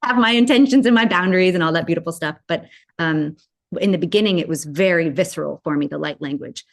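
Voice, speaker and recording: Rambling, lower-pitched German-born woman. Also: fast. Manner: rambling, many fillers and restarts. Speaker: guest, spiritual channel. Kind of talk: casual conversational chat.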